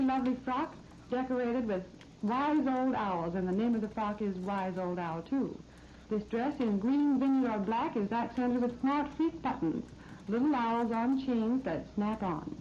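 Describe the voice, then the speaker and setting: An American woman presenting scripted 1930s radio shopping advertising in a polished, promotional manner. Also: a little high. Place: radio studio. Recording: muffled, noisy 1939 radio disc recording.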